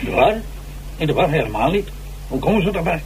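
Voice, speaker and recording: An actor playing an ageing, self-dramatising stage actor jailed for murder, halting and theatrical, in a radio drama. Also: male voice, on the high side, big pitch swings, low-quality recording. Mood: indignant, agitated. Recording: hissy old radio recording.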